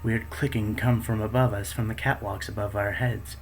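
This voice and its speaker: A man narrating in the first person as a protective female raptor, the beta of her pack, in a steady storytelling way. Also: lowish voice, normal speed, a little hiss or hum, natural voice. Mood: calm.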